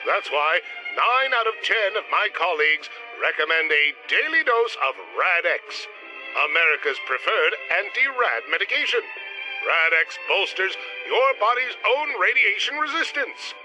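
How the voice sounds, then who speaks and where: An actor playing a confident, didactic physician giving a patriotic 1950s radio advertisement testimonial. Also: male voice, sits high, big pitch swings, a little slow, low-quality recording. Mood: reassuring pitch. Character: earnest retro male physician endorsing products, reassuring and patriotic. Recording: music bed behind voice.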